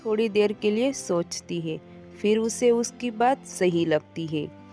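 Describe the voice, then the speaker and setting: Slow-paced woman storyteller. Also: mid-range voice, mellow, soft background music. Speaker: narrator. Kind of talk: scripted children's moral-story narration.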